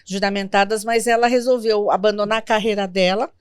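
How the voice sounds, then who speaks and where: A Brazilian woman in her fifties, a guest in an interview, telling family stories in a reflective, gentle way. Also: mid-range voice, fairly even intonation, brisk, clear, resonant tone, no room sound, clean studio sound. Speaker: guest, sworn translator. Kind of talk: interview conversation.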